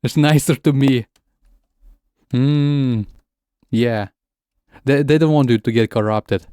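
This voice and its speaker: A man's voice: medium pitch, slow, mellow, clear, resonant tone, no echo, studio quality. Stammering man chatting on stream. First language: Russian. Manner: stammers, repeats words; switches between English and Russian. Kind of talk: casual gaming stream chat.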